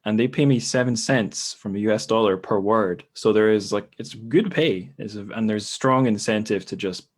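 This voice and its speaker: Man, low voice, podcast host, casual discussion, thinking aloud. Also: normal speed, hardly any pauses.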